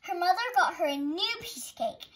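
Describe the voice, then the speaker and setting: A woman reading a children's picture book aloud as a storytime host, in an expressive storytelling style. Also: very high-pitched, normal speed.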